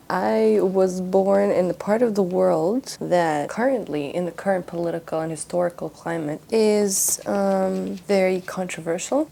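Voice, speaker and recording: A woman's voice: on the low side, creaky, warm tone. A young woman from Russia, exhausted after a late shift, musing casually while she paints, with loose restarts and fillers. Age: young adult. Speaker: art vlogger.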